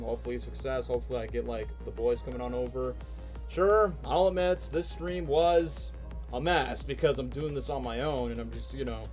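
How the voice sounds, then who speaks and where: A man's voice: medium pitch, darkish tone. A young man casually talking through a livestream as a fan reacting to game cutscenes, rambling with lots of fillers and enthusiasm.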